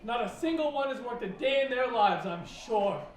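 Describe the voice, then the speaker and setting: A man's voice: very high-pitched, lively intonation, moderate pace, noisy background. An actor playing an indignant, conservative American father who rants in a declamatory way on stage. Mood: scornful. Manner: ranting, declamatory. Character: gruff, indignant conservative middle-aged American father and husband. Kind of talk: stage acting in a one-act play. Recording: echoey stage recording, distant mic.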